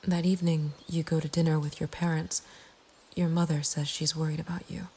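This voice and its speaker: A woman narrating a scripted horror story in a breathy ASMR whisper, with a hushed, deliberate delivery. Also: deep voice, level tone. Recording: faint ambient background noise. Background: soft nature ambience.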